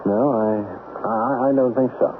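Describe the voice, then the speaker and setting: A man's voice: medium pitch, steady tone, moderate pace, lightly acted. Man acting a hesitant, polite thirty-year-old. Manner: hesitant, stammering, courteous. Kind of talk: radio mystery drama acting. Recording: muffled, noisy old radio broadcast.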